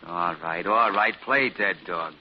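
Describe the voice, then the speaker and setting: An actor playing a dazed, tough older man with rough, slangy street talk in a 1940s radio drama, on a muffled old broadcast recording. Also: deep voice, measured pace, slight background noise. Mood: scoffing.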